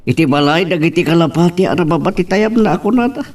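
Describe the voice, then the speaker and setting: A man's voice: a little high, lively intonation, fast, darkish tone. A man playing a plain-spoken older father who is fond of his daughter, in an Ilocano-language radio drama. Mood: wary, questioning.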